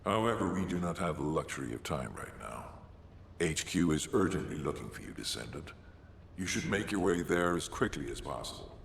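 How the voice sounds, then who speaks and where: A man playing a learned, solemn scientist-advisor in a sci-fi video game, with a gravelly voice and a formal, deliberate delivery. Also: rumbling low, animated, exaggerated voice. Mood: pensive. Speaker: mission advisor. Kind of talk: dramatic video game dialogue. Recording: echoey hall reverb, faint noise.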